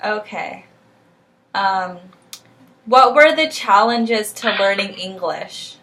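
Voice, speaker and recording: Female student interviewer, young, measured friendly delivery. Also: medium pitch, expressive, a bit bright, slight background noise. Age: young adult. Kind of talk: casual interview questions.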